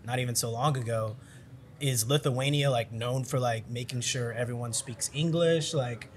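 A man's voice: medium pitch, moderate pace, a little hiss or hum. A young man hosting a casual interview, bantering playfully with slang into a desk microphone in an indoor studio. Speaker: host. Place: indoor studio set with plants. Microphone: desk mic on stand.

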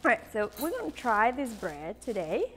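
A woman's voice: on the high side, big pitch swings, normal speed, big-room sound, faint music behind. Bubbly woman, put-on Southern drawl. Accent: playful put-on Southern US. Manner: chatty, bubbly, laughs often. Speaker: cooking show host. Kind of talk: cooking demonstration to camera. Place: large bright home kitchen.

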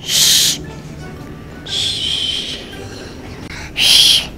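A woman calling out a family backyard game, by turns shouting and whispering. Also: drawn-out words. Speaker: family vlogger. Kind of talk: playful family game calls. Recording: loud music behind.

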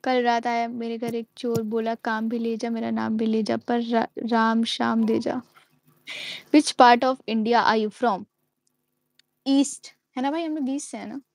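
A woman's voice: fairly high pitch, flat delivery, slow, vocal fry, dry recording. A young woman chatting playfully on a home live stream, reading out comments and giggling often. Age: young adult. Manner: giggly, playful, switches into English. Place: indoors at home, small room. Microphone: wired earphone mic on a phone.

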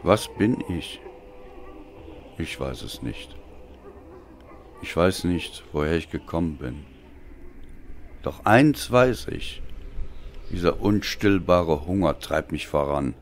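Very deep, slow, brooding male narrator. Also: animated, slight background noise. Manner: brooding, deliberate, theatrical. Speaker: audiobook narrator. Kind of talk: dramatic scripted narration.

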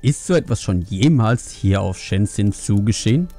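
A man's voice: on the low side, lively intonation, moderate pace, warm tone, faint music behind. A man voicing a strict, angry panda father who scolds his family in a fantasy audiobook, stern and reproachful. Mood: angry, accusing. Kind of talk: acted fantasy audiobook dialogue.

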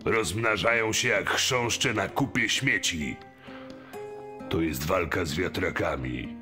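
A man playing an exasperated, mocking mine boss in an audio drama, complaining in a forceful manner. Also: deep voice, slow, over music. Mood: defiant, exasperated.

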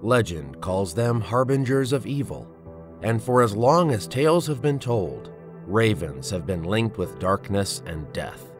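A man giving dramatic scripted narration as an introducer, measured, ominous and theatrical. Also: on the low side, animated. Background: prominent music.

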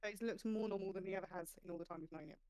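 A woman's voice: medium pitch, very fast, darkish tone, dry recording, clean studio sound. A woman chatting casually as a player in a tabletop game. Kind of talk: casual chat.

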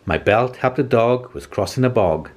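A man's voice: mid-range voice, lively intonation, moderate pace, natural voice. A man voicing a kindly, cheerful storybook giant with a singsong delivery. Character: kindly, cheerful giant George, singing to himself.

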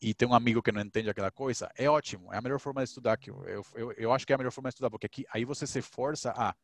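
A man's voice: mid-range voice, rapid-fire, dry recording. A man in his thirties, an engineer, talking through a headset on a video call panel, rambling with many restarts. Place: indoors, home office with shelves.

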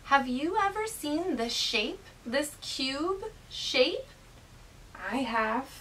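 A woman presenting an educational read-aloud for young children in an animated, exaggerated, child-directed way. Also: high voice, very slow. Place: small indoor classroom inside a mobile learning vehicle. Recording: distant mic with faint room noise.

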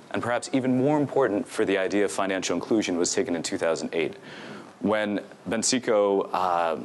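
A young man with a deep, low voice delivers an academic talk from a podium microphone in a lecture hall. He speaks fluently and steadily, dropping in frequent "um"s and "you know"s as he goes. A faint hum of room ambience sits behind him. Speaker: conference speaker. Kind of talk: academic presentation.

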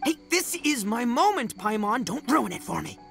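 A man playing a brash, boastful young oni gang boss in a video game, loud, swaggering and casual. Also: extremely high pitch, big pitch swings, normal speed, creaky, faint music behind, full character voice.

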